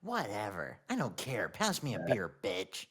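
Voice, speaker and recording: A man's voice: fairly low pitch, huge pitch swings, a little slow, a bit bright, slight background noise, exaggerated voice. A man voicing a drunk, rude, hot-tempered male cartoon sea sponge who rants in an aggressive, whiny way. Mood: surly.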